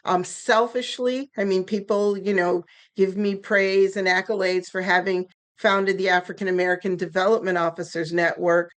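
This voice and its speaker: A woman in her sixties, a guest on a podcast interview, telling her story in a candid, lively way; German was her first language. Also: mid-range voice, normal speed. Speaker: guest, nonprofit founder.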